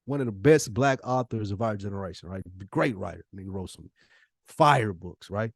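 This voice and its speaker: A man's voice: on the low side, normal speed, gravelly, slightly rough, no room sound. Casual, emphatic American man, dry close sound. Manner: emphatic, colloquial, slangy. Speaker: podcast co-host. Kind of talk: casual opinionated conversation.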